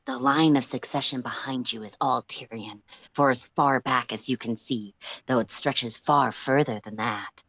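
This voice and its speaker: Measured, portentous storyteller, closely recorded. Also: mid-range voice, sharp tone, no room sound. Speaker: livestream co-reader. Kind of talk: dramatic story narration.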